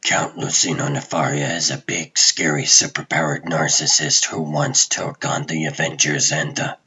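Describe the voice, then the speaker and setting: A man voicing a gruff, calm and wry vigilante superhero in a comic book dub, with a husky, hushed rasp and terse delivery. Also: extremely low pitch, highs cut off, exaggerated voice. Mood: calm, serious. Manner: terse, dry, deliberate.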